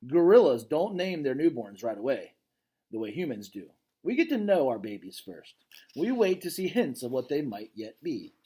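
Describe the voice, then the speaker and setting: A man voicing a gentle, weary, reluctant male silverback gorilla who tells his story reflectively in a storybook reading. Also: medium pitch, sing-song, normal speed, husky.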